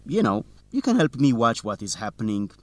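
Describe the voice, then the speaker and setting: A man acting as an earnest, confident young student reporter in a radio drama. His mid-pitched voice swings with lively intonation and is recorded very dry. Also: brisk, no room sound, close to an everyday voice. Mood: earnest, reassuring. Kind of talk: scripted educational radio drama dialogue.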